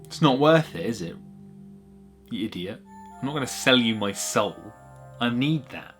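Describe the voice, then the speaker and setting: A man's voice: mid-range voice, lively intonation, a little slow, fairly bright tone, soft background music. A man voicing a female pig chef in a short story, determined, wary and plain-spoken. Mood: blunt, dismissive.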